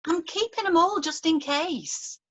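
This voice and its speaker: A woman playing a fond, fussing mother in her fifties in a script table read, chatty and gently teasing. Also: very high voice, unhurried. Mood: sheepish. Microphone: video call.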